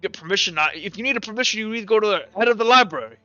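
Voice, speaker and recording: Guy doing a snooty angry head librarian in a fantasy game, bossy, sharp high voice. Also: expressive, rapid-fire, no echo, dull audio, soft background music. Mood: indignant. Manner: officious, scolding. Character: haughty, indignant male head librarian of a noble institution.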